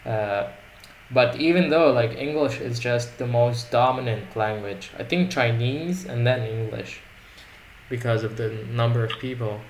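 A man's voice: mid-range voice, a little slow, slight background noise, low-quality recording. Rambling man, native Arabic speaker. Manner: rambling, reflective, many restarts. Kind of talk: casual conversation.

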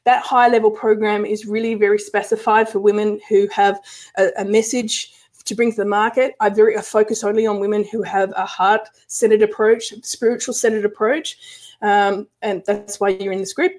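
Woman coach chatting away on a live call, lots of ums and restarts, mid-pitch voice. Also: flat delivery, moderate pace, a little mellow. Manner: chatty, frequent restarts and fillers. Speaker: spiritual business coach. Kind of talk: casual live-stream talk to a group.

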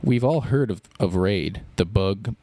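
Deadpan rambling man, very low voice. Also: unhurried, no room sound. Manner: deadpan, rambling with many fillers. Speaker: podcast co-host. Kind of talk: improvised comedy banter.

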